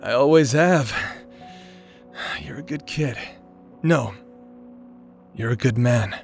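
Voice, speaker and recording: A weary warrior at the end of his life , acted by a man, speaking slowly to someone he is fond of. He is gentle, halting and self-deprecating, in an audio drama style with faint music behind him. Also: medium pitch, creaky. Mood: fond, wistful. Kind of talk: audio roleplay dramatic acting.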